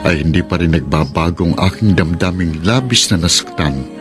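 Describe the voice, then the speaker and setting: Heartbroken Filipino lover, emotional radio drama. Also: male voice, low voice, normal speed, over music. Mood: sorrowful. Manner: emotional, wounded, exasperated. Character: heartbroken, impatient young man in unrequited love.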